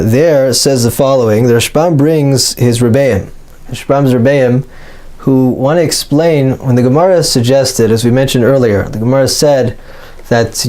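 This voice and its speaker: A man's voice: mid-range voice, warm tone. A man giving an explanatory religious lecture as a teacher, working through an argument methodically and analytically, stressing his key points.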